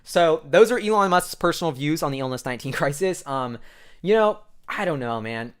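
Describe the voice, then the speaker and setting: A man's voice: on the high side, lively intonation, a little fast, clear, resonant tone. An American man giving casual, off-the-cuff commentary as a show host, rambling informally with plenty of filler phrases. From United States. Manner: informal, rambling, heavy use of "like" and "you know".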